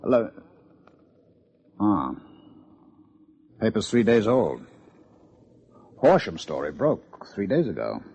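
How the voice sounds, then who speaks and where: Husky, sardonic American police lieutenant. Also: male voice, lowish voice, big pitch swings, slow, long pauses, darkish tone, exaggerated voice. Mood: puzzled, investigating. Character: dry, needling male police lieutenant. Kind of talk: old-time radio detective drama acting. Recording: muffled old radio recording.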